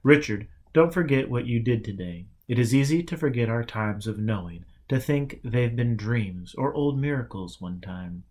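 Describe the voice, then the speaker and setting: A calm male mystic teacher as a man reads him aloud in a storybook. He speaks in a mid-range voice with short, instructive commands. There is a teasing edge to his guidance. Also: medium pitch, moderate pace, hardly any pauses, close to an everyday voice.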